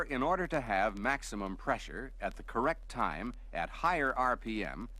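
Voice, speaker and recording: A man narrates scripted instructional material in a measured, explanatory way, like a training film narrator. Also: medium pitch, pushed and bright. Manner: measured, explanatory, clearly structured delivery.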